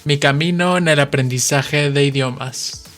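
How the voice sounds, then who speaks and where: A man's voice: fairly high pitch, a little slow, fairly bright tone, faint music behind. A man in his twenties from western Mexico with a Mexican Spanish accent, hosting a podcast and patiently explaining things with examples. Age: 20s. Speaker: podcast host, Spanish teacher. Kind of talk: slow explanatory podcast monologue.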